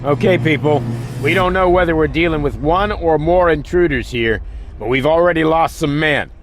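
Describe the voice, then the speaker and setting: An actor playing a hard, curt security squad leader giving orders in a video game. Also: male voice, a little high, normal speed, over music, natural voice. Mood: commanding, urgent. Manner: commanding, curt. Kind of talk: scripted game dialogue, barking orders.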